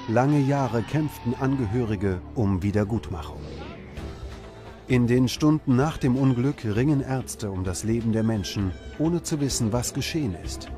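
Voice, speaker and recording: Male documentary narrator over music. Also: medium pitch.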